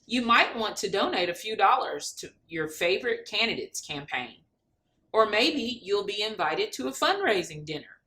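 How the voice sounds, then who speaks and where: Bright-voiced woman reading animatedly to children. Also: mid-range voice. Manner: expressive, deliberate, addressing young listeners with questions. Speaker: storybook reader. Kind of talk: animated children's read-aloud.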